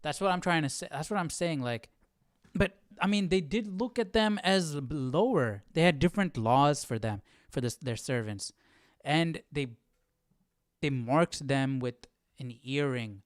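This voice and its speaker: A man's voice: on the high side, lively intonation, unhurried, no echo, studio quality. A man livestreaming a Bible study, commenting casually with puzzled questions in informal, slangy speech. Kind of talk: casual spontaneous commentary while reading.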